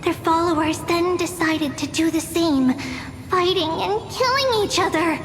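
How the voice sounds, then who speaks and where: A woman's voice: extremely high pitch, measured pace, a bit bright, exaggerated voice. A woman voicing a chirpy, bossy little girl in a video game, telling history like a storybook lesson in a singsong manner. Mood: playful, storytelling. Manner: singsong, simple childlike wording. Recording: long hall reverb, music behind. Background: game music.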